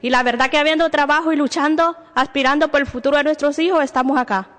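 A woman's voice: sits high, fairly even intonation, very fast, fairly bright tone. A woman from Honduras with a Honduran Spanish accent, making a personal plea at a public hearing. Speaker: single mother giving public testimony. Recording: echoey hall, long reverb.

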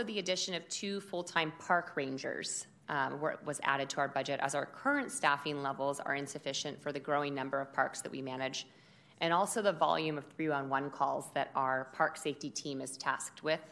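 A woman who directs a city department, giving a formal budget presentation at a public meeting in a loud, steady, measured voice. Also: low voice, gravelly. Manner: measured, informative delivery with occasional fillers.

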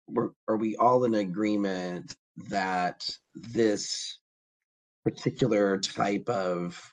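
A man on a public committee who is sick with the flu and losing his voice, speaking haltingly with many fillers. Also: low voice, slightly rough, poor audio. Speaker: committee member. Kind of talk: public meeting discussion.

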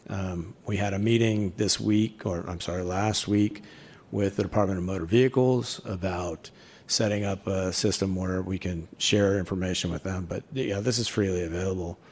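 A man reporting to a city commission, measured and steady, with frequent ums. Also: sits low, breathy edge. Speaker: fire department official presenting. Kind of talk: formal testimony at public meeting.

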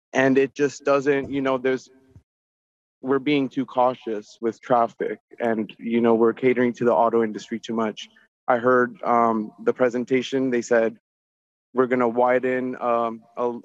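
A man giving informal public comment over a remote call from outdoors, speaking in an earnest, insistent way. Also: medium pitch. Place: outdoors near a highway. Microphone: remote call into meeting. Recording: dull, roomy remote-call audio.

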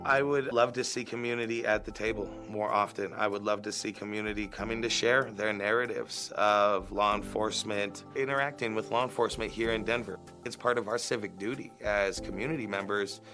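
A man gives interview-style remarks in a voice a little lower than most. Music is clearly audible behind him. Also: fairly even intonation, fairly quick, few pauses.

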